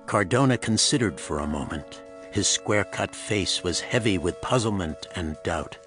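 A man narrating a pulp thriller as scripted storytelling, in a dramatic, measured manner. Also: deep voice, animated, music in the background.

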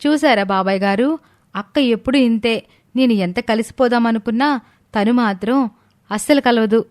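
A woman's voice: fairly high pitch, moderate pace, darkish tone, no room sound, studio quality, pitched above the performer's own voice, close to an everyday voice. A woman voicing a sly younger sister-in-law in a family story who plays sweet and innocent, with a pointed, plaintive manner. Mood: feigned hurt.

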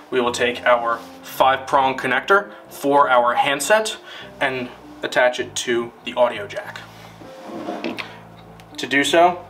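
Slow, bright-voiced male instructor. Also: medium pitch, far from the mic. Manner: deliberate, step-by-step, formal. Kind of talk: scripted instructional narration.

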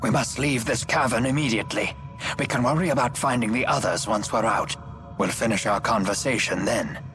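Man voicing a formal, composed old gentleman. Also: mid-range voice, lightly acted. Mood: alert, commanding. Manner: formal, measured, precise. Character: courtly, composed, wise elderly gentleman strategist. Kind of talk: English video game voice acting. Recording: game music behind the voice.

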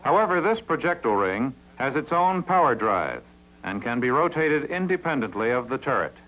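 A man with an American accent giving measured, clearly enunciated scripted narration in a mid-century instructional film style, on an old film soundtrack. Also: mid-range voice, very animated, fairly bright tone, dull audio.

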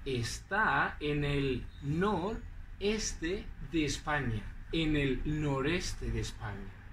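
Man, 40s, Spanish accent from Zaragoza, slow deliberate teacher, bright tone, faint outdoor hiss. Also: on the high side, lively intonation, distant mic, low-quality recording. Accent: Spanish from Aragon. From Spain. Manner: teasing, drawn-out emphasis. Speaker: language teacher in a scripted dialogue. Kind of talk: slow, clearly articulated teaching dialogue. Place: outdoors in front of a green wall.

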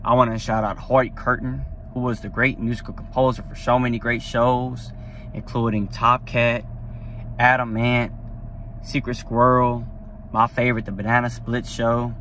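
A man's voice: medium pitch, little pitch movement, normal speed, fairly bright tone, slight background noise. Young man chatting in a car. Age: young adult. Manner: rambling, enthusiastic, many fillers. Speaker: vlogger. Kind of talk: casual talk to camera. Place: parked car, indoors. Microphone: phone at arm's length.

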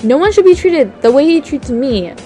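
A woman's voice: high-pitched, sing-song, normal speed, warm tone, soft background music. A woman playing a hurt, defiant young daughter with a whiny, emphatic delivery in a game roleplay. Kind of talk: amateur voice-acted game roleplay.

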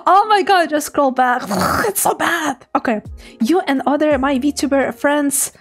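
Young woman VTuber, high-pitched, giggly and playful, casual chat with viewers at a home desk mic. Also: measured pace, faint music behind. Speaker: VTuber streamer. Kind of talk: casual chat reading chat messages.

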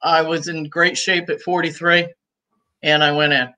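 A woman in her sixties, talking as a guest on a video call from home, chatty and easygoing and quick to laugh. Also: sits low, flat delivery, bright, forceful tone. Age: 60s. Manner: talkative and easygoing, laughs often. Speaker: guest, cigar shop owner. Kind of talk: casual interview chat. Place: room at home.

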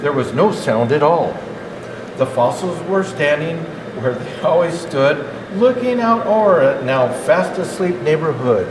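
An older man reading a children's rhyming storybook aloud as Santa Claus, in a measured, expressive delivery. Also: fairly high pitch. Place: indoor natural history museum hall. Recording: echoey hall, clearly noisy background.

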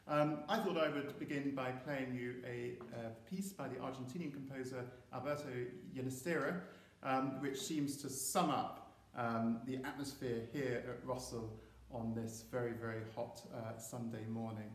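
Man, thirties, teacher; medium-pitched voice, informal hesitant introduction, strong room echo. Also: normal speed. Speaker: school teacher introducing assembly. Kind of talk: informal welcome to camera. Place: small music room, camera a few feet away. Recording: echoey room, faint noise.

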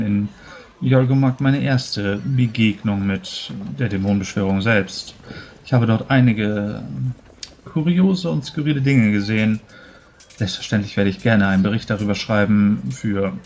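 A man playing a polite, self-assured young scholarly mage in a tabletop game, speaking formally and deliberately with hesitations. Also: on the low side, a little mellow, a little hiss or hum, lightly acted. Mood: scholarly pride. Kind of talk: tabletop roleplay in character.

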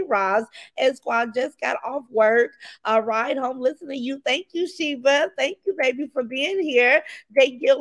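Brash raspy woman hosting a livestream. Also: high voice, no echo. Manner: brash and profane, reads chat names aloud. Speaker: livestream host. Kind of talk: casual livestream chat.

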